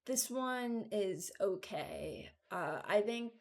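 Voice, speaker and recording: A woman giving informal, halting commentary as a video creator, thinking aloud while she ranks things. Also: medium pitch, lively intonation. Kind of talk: casual commentary, ranking game voice lines.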